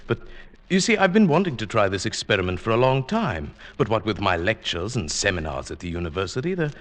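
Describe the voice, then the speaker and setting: An actor playing a meek, henpecked older botany professor in an old-time radio drama, speaking mildly and hesitantly. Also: male voice, on the low side, big pitch swings, brisk, fairly bright tone. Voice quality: mild, hesitant.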